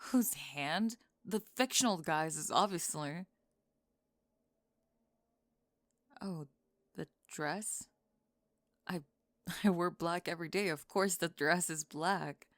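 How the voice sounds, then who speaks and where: A young woman playing a sharp-tongued, defensive goth college student who is secretly smitten, in an ASMR roleplay, speaking in halting, clipped, emphatic phrases. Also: lowish voice, dry recording, studio quality. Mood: dismissive, defensive. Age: young adult. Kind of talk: ASMR roleplay monologue, intimate audio drama.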